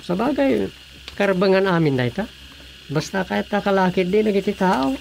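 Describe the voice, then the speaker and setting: A man's voice: sits high, expressive, moderate pace, creaky, darkish tone, a little hiss or hum, rough recording. A man playing an opinionated grumbler in an Ilocano radio drama, with a Filipino accent. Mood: grumbling.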